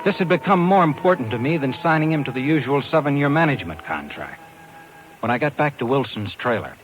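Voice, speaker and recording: An American actor playing a determined talent agent who narrates, emphatic and hard-boiled, in a 1950s radio drama. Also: male voice, mid-range voice, lively intonation, a little fast, dull audio, soft background music, lightly acted. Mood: hard-boiled narration. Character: determined, brisk New York talent agent man, narrator. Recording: hissy old radio recording.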